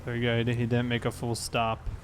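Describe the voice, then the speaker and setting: A man's voice: medium pitch, monotone, quick pace. Casual man streaming, noisy background. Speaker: game livestreamer. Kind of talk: casual livestream commentary of a police roleplay game.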